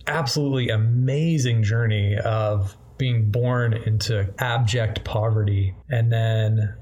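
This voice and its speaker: A man's voice: on the low side, steady tone, slow pace, darkish tone. A man co-hosting a podcast, introducing a guest in an enthusiastic, effusive, conversational way. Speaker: podcast co-host.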